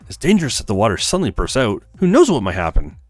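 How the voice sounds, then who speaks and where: A man's voice: fairly low pitch, huge pitch swings, fast, no echo, clean studio sound. A man reading the part of a conscientious, pensive young man, the protagonist of a visual novel. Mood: concerned.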